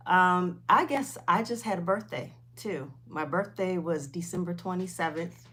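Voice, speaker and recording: A woman's voice: lowish voice, unhurried, a bit bright. A woman, chatting informally on a livestream and replying to viewers, often repeating herself. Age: 50s. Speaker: host of a weight loss livestream.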